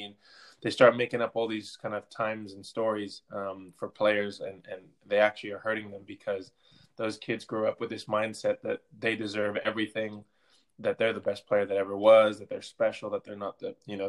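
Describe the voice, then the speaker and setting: A man with a fairly low voice answers interview questions as a coach. His intonation stays very flat. He talks informally, often doubling back on his words. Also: rough recording. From Belgium. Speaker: interview guest, soccer coach and team captain. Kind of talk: conversational interview.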